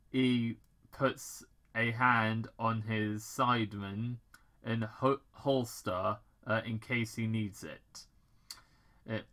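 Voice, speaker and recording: Guy reading a fanfic out loud, slow and halting, forceful bright voice, casual asides. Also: medium pitch. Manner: halting, stumbling over words. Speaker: fan fiction reader. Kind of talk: informal read-aloud narration with asides.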